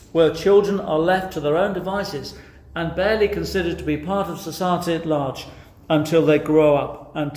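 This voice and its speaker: A man's voice: a little high, darkish tone. A man with an English accent preaching a sermon earnestly, putting questions directly to his listeners. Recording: echoey, reverberant room sound.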